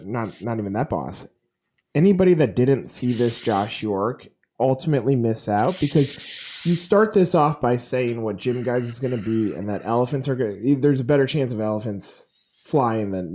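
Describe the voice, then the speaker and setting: A man with a cold hosting an interview podcast, talking casually and informally with halting, repeated phrases. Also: mid-range voice, warm tone. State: congested with a cold.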